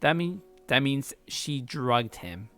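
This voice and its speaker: A let's play host reading a visual novel aloud dramatically, with slangy, expressive casual commentary. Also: mid-range voice, slow pace, soft background music.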